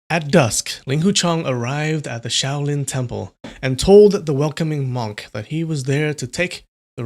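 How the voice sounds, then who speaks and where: A young man from California reading a novel aloud on a livestream, chatting casually with viewers between passages. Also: fairly high pitch.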